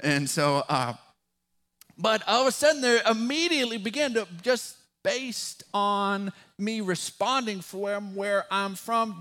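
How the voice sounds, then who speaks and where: A man with a West Texas drawl preaching a sermon as pastor, folksy and animated, with a touch of humor. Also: very high-pitched.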